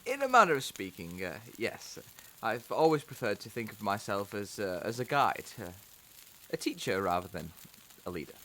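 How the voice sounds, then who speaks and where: An actor playing a mild seventeenth-century village priest in an audio drama, speaking formally and haltingly. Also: male voice, lowish voice, very animated, fairly bright tone, a little hiss or hum. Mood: courteous, hesitant.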